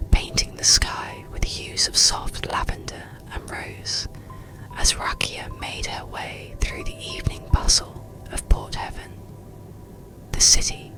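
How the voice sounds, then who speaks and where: A narrator whispering a bedtime story and guided relaxation in a slow, gentle, soothing ASMR style. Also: rough recording.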